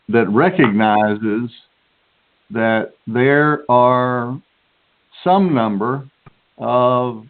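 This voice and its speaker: A man speaking as a mayor in a committee call, deliberating in a measured, halting way. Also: medium pitch. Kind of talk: deliberative meeting talk. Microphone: telephone. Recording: tinny conference phone line.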